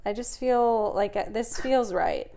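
A woman in her twenties co-hosting a podcast, talking in a chatty, animated way and swearing casually. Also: mid-range voice, measured pace, creaky, slight background noise. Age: 20s. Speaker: podcast co-host. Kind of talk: casual chat.

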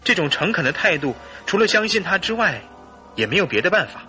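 An actor playing a suspicious, anguished husband in a radio drama, with a husky, earnest and theatrical delivery. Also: male voice, high-pitched, sing-song, a little fast, bright, forceful tone, soft background music, full character voice. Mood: troubled. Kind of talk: Mandarin radio drama acting and storytelling.

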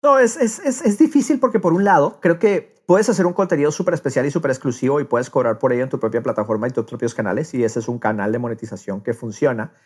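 A man's voice: mid-range voice, quick pace, hardly any pauses, husky. A man hosting a podcast in a casual conversational style, now and then dropping in English words. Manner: drops English words into speech. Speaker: podcast host.

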